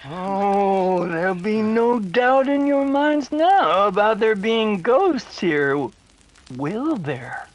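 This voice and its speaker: A woman's voice: mid-range voice, lively intonation, slow, darkish tone, poor audio. A woman playing a dour, superstitious local of a haunted house in a 1930s-style radio drama, folksy and ominous. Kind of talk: 1930s radio mystery acting.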